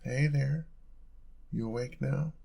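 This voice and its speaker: A young man playing an affectionate, playful roommate in an intimate audio roleplay, talking in a soft, casual, teasing way. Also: mid-range voice, slow, vocal fry, slightly rough, exaggerated voice. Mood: gentle, caring.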